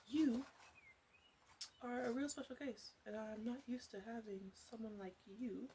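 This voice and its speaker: A woman playing a sly, flirty witch who runs a potion shop, in a soft-spoken ASMR roleplay with whispers and halting, teasing asides. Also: fairly high pitch, a little hiss or hum, rough recording. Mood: chatty, wry.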